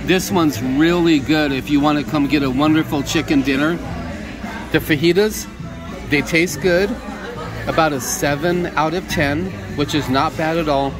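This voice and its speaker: An upbeat, chatty man reviews his meal in a busy restaurant, his voice sitting a little high for a man. Clear background music plays over the steady chatter of diners, picked up by a handheld camera mic. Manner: upbeat, chatty, simple praise words. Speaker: vlog host. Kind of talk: casual food review vlog. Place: busy restaurant dining room. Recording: restaurant music and crowd noise.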